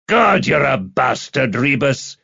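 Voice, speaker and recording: A man voicing a hearty, chatty male police detective sergeant in an audiobook, with an animated, blokey manner. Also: medium pitch, unhurried, dull audio, voice pushed up. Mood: annoyed.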